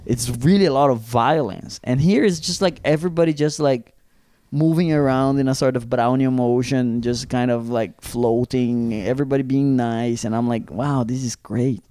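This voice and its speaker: A young man from Brazil co-hosting a comedy podcast, full of animated storytelling and casual swearing. His voice is mellow and dark, recorded close and dry with no room sound. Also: medium pitch, moderate pace, dry recording. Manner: animated, swearing, acts out quotes. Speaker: podcast co-host.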